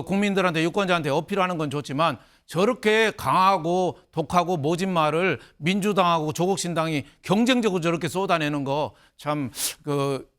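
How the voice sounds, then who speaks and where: A man's voice: on the high side, a bit bright, clean tone. A man commenting on a studio panel, making folksy joking analogies. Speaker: political commentator.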